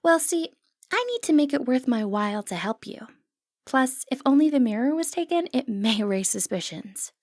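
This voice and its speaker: A woman's voice: high voice, sing-song, moderate pace, darkish tone, no echo, studio quality, full character voice. A woman acting a sly, flirtatious thief in a fantasy ASMR roleplay, with a teasing, confident, wry way of talking. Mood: coy, businesslike.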